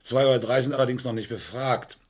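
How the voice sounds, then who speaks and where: A man playing a brisk, commanding police inspector, businesslike and terse, in a German radio crime play. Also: mid-range voice, husky, close to an everyday voice. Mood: brooding.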